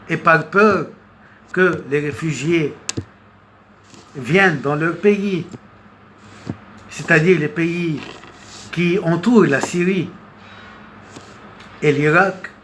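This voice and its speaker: Very slow, halting man giving commentary. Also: fairly high pitch, a bit bright. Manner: halting, hesitant, with long pauses and repeated words. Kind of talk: unscripted political commentary monologue. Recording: noticeable background noise.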